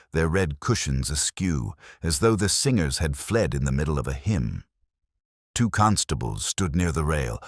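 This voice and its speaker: A man voicing a weary middle-aged detective, narrating in a measured, melancholy, literary way. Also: deep voice, dry recording, studio quality, full character voice. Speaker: first-person narrator. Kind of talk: scripted audio drama narration.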